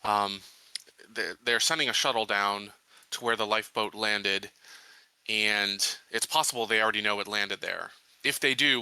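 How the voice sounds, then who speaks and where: Very bright, crisp-voiced male player. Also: on the low side, no room sound, low-quality recording. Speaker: tabletop roleplaying player. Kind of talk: casual in-game planning discussion.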